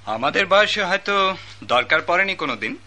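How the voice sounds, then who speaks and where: A spoiled young heir who stammers and dodges blame, voiced by a man in a radio play. His voice is fairly high and bright and swings widely in pitch. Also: animated, a little fast, slight background noise, heavily acted. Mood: defensive. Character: spoiled rich young son, evasive and sulky. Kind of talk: radio drama acting.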